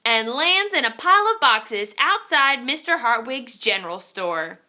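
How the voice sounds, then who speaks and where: Animated, high-voiced woman reading a children's story. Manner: theatrical, suspenseful, with drawn-out exclamations. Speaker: storyteller reading a children's picture book aloud.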